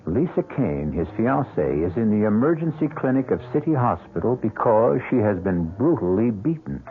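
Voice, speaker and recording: A man hosting and narrating an old-time radio drama in an ornate, measured, portentous manner. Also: on the low side, very animated. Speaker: host and narrator. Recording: slightly dull radio recording over music.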